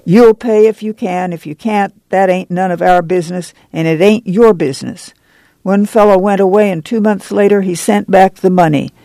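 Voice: mid-range voice, fairly even intonation, moderate pace, mellow, dry recording, close to an everyday voice. A woman voicing a stern, bossy country committee chairwoman who scolds in commanding, folksy rural dialect in a story reading. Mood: indignant, ordering.

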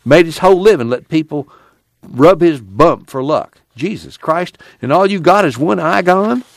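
A man's voice: on the high side, expressive, normal speed, vocal fry, no echo, voice pushed up. A man voicing a brash, impatient young working man with folksy, blunt, profane rural speech in an audiobook. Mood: blunt, needling. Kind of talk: acted audiobook dialogue.

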